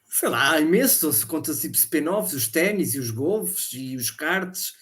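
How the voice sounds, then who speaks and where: Excitable guy, slightly high voice. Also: measured pace. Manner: excitable, laughs, mixes in English slang. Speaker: quiz contestant. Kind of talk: casual banter.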